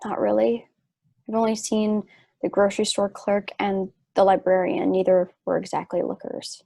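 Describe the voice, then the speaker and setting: A woman playing a sick, trusting wife in a stage play reading, wistful and gently earnest. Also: medium pitch, completely flat delivery, moderate pace, gravelly, no echo. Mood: weak, worried.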